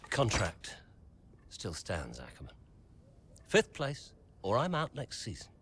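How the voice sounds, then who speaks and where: A man's voice: lowish voice, huge pitch swings, takes it slowly, a bit bright, a little hiss or hum, full character voice. An actor playing a curt, embittered male team backer, terse and menacing in a dramatic game cutscene. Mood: threatening.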